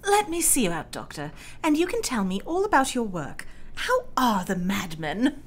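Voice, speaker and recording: A fussy society mother played by a woman chats away in a radio drama. Her voice is highish and extremely lively, over faint noise. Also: normal speed. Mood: polite curiosity. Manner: fussy, gushing. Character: genteel, chatty, oblivious mother of a patient.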